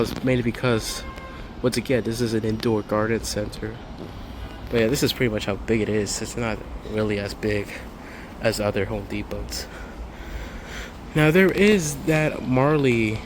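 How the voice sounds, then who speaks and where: Man vlogging casually amid noisy store ambience. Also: fairly low pitch. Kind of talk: casual handheld walkthrough commentary. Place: large indoor warehouse store. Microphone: handheld phone or camera. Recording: clear store ambient noise.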